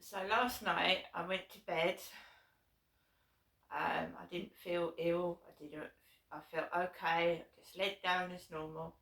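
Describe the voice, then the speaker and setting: A hoarse woman with a sore throat, telling a rambling personal story to her vlog audience, repeating herself and swearing freely. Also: fairly low pitch, fairly even intonation, takes it slowly, a bit bright. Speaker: vlogger. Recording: echoey room, voice from a distance.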